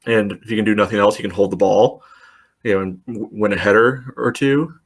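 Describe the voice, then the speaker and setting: A man's voice: on the low side, steady tone, fairly quick, slightly rough. A man co-hosting a podcast, talking casually about soccer and leaning hard on "you know.". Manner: rambling, heavy "you know" fillers. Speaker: podcast co-host.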